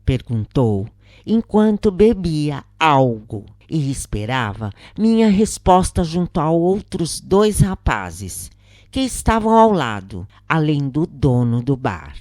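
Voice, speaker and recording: A Brazilian woman reading a scripted story as a narrator, in measured, deliberate phrases with pauses. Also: sits low, big pitch swings, a little mellow, dry recording, studio quality. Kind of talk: scripted audiobook-style narration of a spiritist account.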